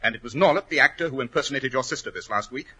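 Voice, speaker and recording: An actor playing a commanding, coolly confident detective in a 1940s radio drama, with crisp, formal, dryly ironic delivery. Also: male voice, medium pitch, rapid-fire, sharp tone. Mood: measured. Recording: old 1940s radio recording.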